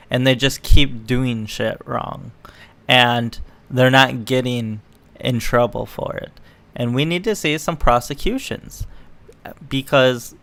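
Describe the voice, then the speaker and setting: A man's voice: medium pitch, a bit bright. A man giving casual, opinionated reaction commentary in a mocking, sweary way. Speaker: online political commentator.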